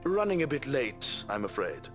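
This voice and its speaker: Game role: deferential mansion servant, very low voice, lively intonation, formal and polite. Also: female voice, moderate pace. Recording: game audio with faint music.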